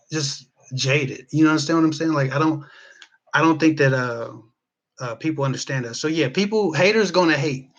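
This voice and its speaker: An American man speaks off the cuff at a medium pitch, like a vlogger chatting with his viewers. He rambles through his advice and leans on repeated phrases for emphasis. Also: a bit bright, poor audio. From Midwestern US (Ohio). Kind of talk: casual unscripted advice talk to live viewers.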